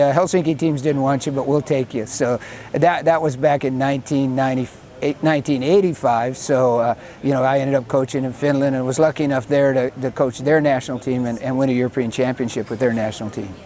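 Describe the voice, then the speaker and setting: A man's voice: medium pitch, mellow. An older American man, a football head coach, reminiscing casually in an interview with self-deprecating, anecdotal talk. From Washington state, USA.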